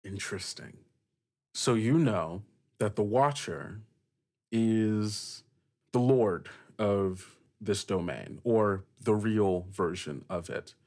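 A man's voice: fairly low pitch, slow, a little mellow. A man hosting a tabletop roleplaying game as game master, improvising descriptive narration and putting questions to the player.

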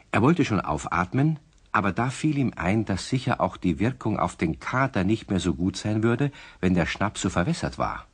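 Man, storybook narrator, husky, low voice, lively intonation, old radio play.

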